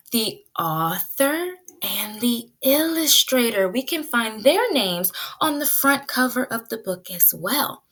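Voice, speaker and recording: Woman, preschool teacher, very bright tone, slow pace, exaggerated child-directed delivery, leading story time with questions. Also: medium pitch. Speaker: pre-K teacher. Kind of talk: read-aloud lesson for young children.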